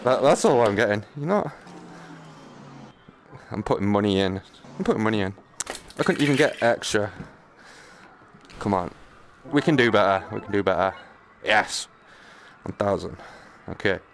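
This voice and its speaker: A man's voice: fairly low pitch, lively intonation, moderate pace, pauses often. A man giving casual live game commentary, muttering and exclaiming to himself in a jokey, self-deprecating way. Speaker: gaming streamer. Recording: noticeable background noise, game ambience.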